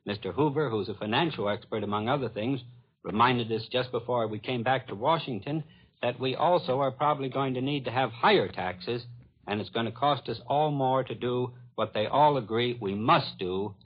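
A man's voice: mid-range voice, breathy edge. An American man hosting a 1940s radio public-affairs program, summing up in an earnest, emphatic way. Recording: muffled 1940s radio broadcast recording.

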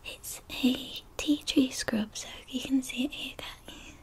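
Young female ASMR whisperer: breathy and high, gentle giggles, unhurried and caring. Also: steady tone. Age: young adult. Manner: soft whispering, giggly and doting. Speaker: ASMR creator. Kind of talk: ASMR girlfriend roleplay. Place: bedroom with purple lights.

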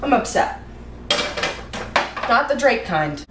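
A young woman goofing around while she cooks in her home kitchen, talking to the camera in a silly, self-mocking way with loud outbursts. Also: on the high side, sing-song, slow pace. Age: young adult. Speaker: vlogger. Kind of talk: goofy cooking vlog. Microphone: camera across the kitchen. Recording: echoey kitchen, audible noise.

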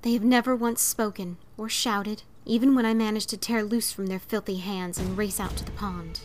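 A woman's voice: mid-range voice, steady tone, moderate pace. Woman reading horror stories over faint music. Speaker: story reader. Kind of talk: dramatic horror story narration.

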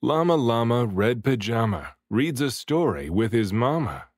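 Slow, expressive male storybook reader. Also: medium pitch, breathy edge, dry recording, studio quality. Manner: deliberate, rhythmic phrasing of rhymes. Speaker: children's book reader, voice actor. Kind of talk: expressive picture-book read-aloud.

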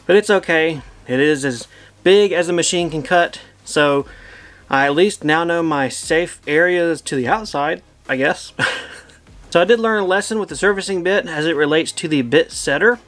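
Easygoing bearded workshop guy, slightly high. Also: a bit bright. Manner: easygoing, plainspoken, thinks aloud. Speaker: woodworking channel host. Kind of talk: casual how-to narration. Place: home woodshop.

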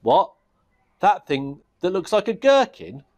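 A man voicing a boastful, crude goblin king who bellows his orders in a rough manner. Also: a little high, very animated, normal speed, darkish tone, pitched above the performer's own voice. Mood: scornful. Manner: bellowing, brash and rough-spoken.

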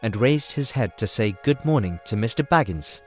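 A man's voice: medium pitch, animated, moderate pace, darkish tone. A man reading scripted storybook narration for an audio drama. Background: music.

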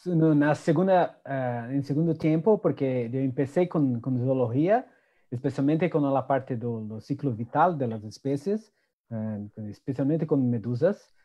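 A man whose first language is Portuguese, speaking Spanish with a Portuguese accent on a video-call panel, halting and searching for words. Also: medium pitch, lively intonation. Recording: dull lo-fi sound.

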